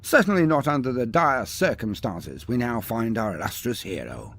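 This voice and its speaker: A man's voice: mid-range voice, very animated, normal speed, a bit bright, faint music behind. A man narrating a scripted mock-epic fantasy tale in a grandiose, theatrical manner with ornate delivery. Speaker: storyteller, narrator.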